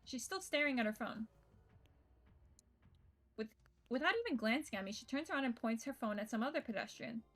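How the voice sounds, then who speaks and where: A woman with a fairly high voice reads story narration aloud as she streams a game. Music plays under her reading.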